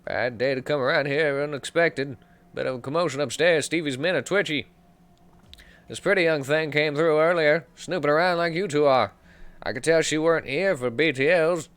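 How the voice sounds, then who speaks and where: A man voicing a wary old man in a slum who gossips in a folksy, gruff way. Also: on the high side, moderate pace, fairly bright tone, lightly acted.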